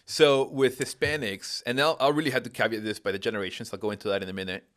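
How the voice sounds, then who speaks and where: A man's voice: fairly low pitch, steady tone, quick pace, fairly bright tone. A man in his thirties, originally from Peru, explaining patiently in a casual conversation with frequent rhetorical questions.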